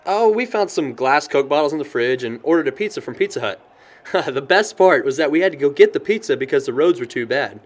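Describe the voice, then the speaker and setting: Casting: actor as a sentimental young American guy, highish pitch, very lively swings, radio drama. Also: a little fast. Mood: fond, nostalgic. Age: young adult. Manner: chuckles often, animated storyteller. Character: cheerful young man, sentimental but worried about his sister. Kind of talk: audio drama dialogue.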